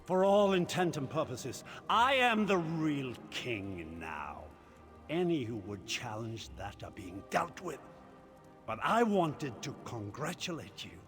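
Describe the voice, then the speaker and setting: Smug theatrical illusionist villain, swooping delivery. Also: male voice, mid-range voice, big pitch swings, a bit bright, faint music behind. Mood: gloating, mocking. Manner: mocking, drawn-out, gloating delivery.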